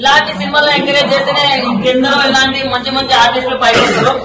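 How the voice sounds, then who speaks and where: A man's voice: extremely high pitch, flat delivery, very fast. A man giving a gushing, casual film reaction as a moviegoer, repeating himself for emphasis and mixing in English words. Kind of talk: casual excited public reaction. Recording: echoey, crowd around, distant from mic.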